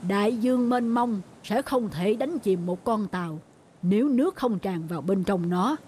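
Mellow, patient fisherman father played by a woman. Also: medium pitch, animated, moderate pace, slight background noise, close to an everyday voice. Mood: wise, instructive. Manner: patient, instructive. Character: calm, wise middle-aged fisherman father. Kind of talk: cartoon folk-tale acting.

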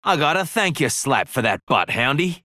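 An actor playing a cocky, flirtatious pirate adventurer in a video game, with an Australian accent and a playful, teasing drawl. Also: male voice, a little high, big pitch swings, moderate pace, sharp tone, no echo, studio quality. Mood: flirty, playful. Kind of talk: video game character voice lines.

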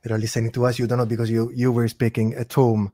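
A man's voice: mid-range voice, monotone, quick pace, mellow. A man hosting an interview over a video call, asking questions with short curious prompts. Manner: curious, encouraging, brief prompts. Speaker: host. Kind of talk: casual interview. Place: home room, video call. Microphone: headset.

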